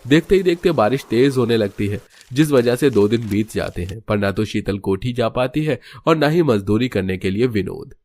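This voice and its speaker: A man's voice: medium pitch, lively intonation, normal speed, hardly any pauses, darkish tone, no echo. A man narrating a scripted cartoon story as its storyteller.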